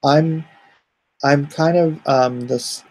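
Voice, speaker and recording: A middle-aged man streaming live from home, reading chat aloud and answering it in an easygoing, even-tempered way. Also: on the high side, very slow, low-quality recording. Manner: calls himself soothing and even-tempered; reads chat comments aloud and answers them.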